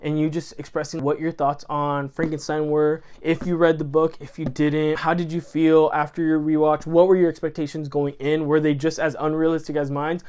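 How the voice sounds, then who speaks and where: Earnest, rambling man with a smooth voice. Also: on the high side, little pitch movement, moderate pace, hardly any pauses, a little mellow. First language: Spanish. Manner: rambling, earnest and effusive, with many self-corrections. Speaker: channel host. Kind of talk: casual chat to camera.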